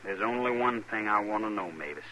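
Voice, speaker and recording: Young ranch hand, bright forceful voice, rural American accent, plainspoken, stubborn, radio western. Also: male voice, lowish voice, expressive, a little fast, a little hiss or hum, low-quality recording. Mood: resolute. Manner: plain, forthright. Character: plainspoken, stubborn, hearty young cowboy husband. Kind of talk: mid-century American radio western acting.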